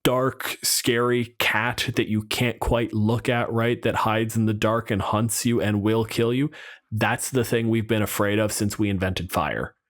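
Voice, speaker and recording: A man's voice: on the low side, fairly even intonation, unhurried. A man co-hosting a podcast, chatting casually and unscripted about tabletop games, with wry asides and loose swearing. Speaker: podcast co-host.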